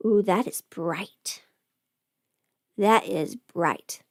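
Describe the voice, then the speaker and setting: A woman playing a chatty, playful streamer who rambles casually through her makeup routine, often slipping into hushed asides. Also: medium pitch, extremely slow, creaky, no echo, studio quality, heavily acted. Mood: focused, chatty. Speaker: livestreamer doing a get-ready-with-me makeup stream. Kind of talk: casual livestream chat, scripted audio roleplay.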